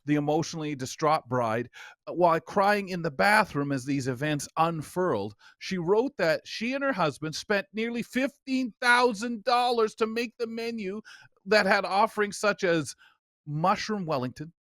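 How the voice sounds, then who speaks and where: A man hosts a radio magazine show in a high voice that moves around a lot. His storytelling is theatrical, and the sound is close and dry. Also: animated, normal speed, fairly bright tone, no room sound. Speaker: talk show host.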